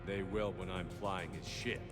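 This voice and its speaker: An actor playing an arrogant, menacing clone of a military commander in a video game, boastful and contemptuous. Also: male voice, deep voice, steady tone, normal speed, natural voice. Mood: arrogant boasting. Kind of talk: video game dramatic acting. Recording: background music under the dialogue.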